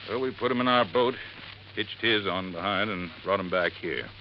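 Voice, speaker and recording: A folksy, rambling desert resort handyman, played by an actor, in a 1950s radio drama, his voice sitting very low. He drops his g's and spins his story at his own pace. The recording is muffled, with a faint hiss underneath. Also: normal speed, natural voice. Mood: earnest, folksy.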